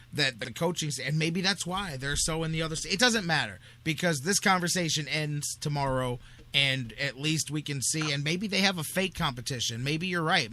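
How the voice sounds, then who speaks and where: American sports talk host guy, bright punchy voice a little high, blunt and opinionated, talks real casual. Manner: forceful, blunt, colloquial.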